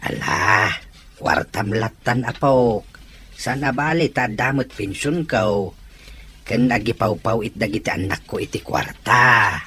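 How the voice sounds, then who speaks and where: A man's voice: medium pitch, unhurried, sharp tone, slight background noise. A man playing a kindly elderly grandparent in an Ilocano audio drama, doting and encouraging.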